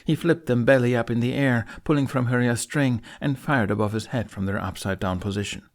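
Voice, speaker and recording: A man's voice: mid-range voice, fairly quick. Man dramatically narrating a fantasy audiobook.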